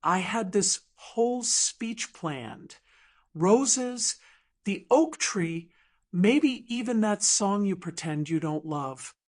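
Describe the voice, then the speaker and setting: A woman voicing a quiet, tender middle-aged man in an audiobook romance, gentle and soft-spoken. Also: medium pitch, lively intonation, takes it slowly. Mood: tender, wistful.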